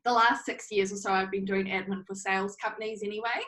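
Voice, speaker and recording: Young NZ woman, relaxed interview guest, middle-pitched and talkative. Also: fast, a little hiss or hum. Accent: New Zealand. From New Zealand. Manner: chatty, laughs often, many fillers. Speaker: interview guest, social media manager. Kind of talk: casual interview talk.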